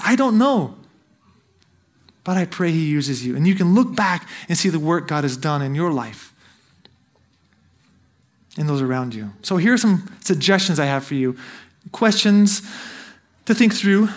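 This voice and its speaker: High-voiced man preaching a sermon. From Brazil. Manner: earnest, exhorting, asks the listeners questions. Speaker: missionary preacher.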